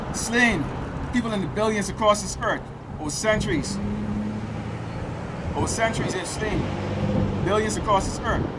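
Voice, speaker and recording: A man with a high voice preaches out on a city street, reading scripture in a slow, measured cadence and then pressing passersby with rhetorical questions. Heavy traffic roars around him throughout the recording. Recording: loud street traffic noise.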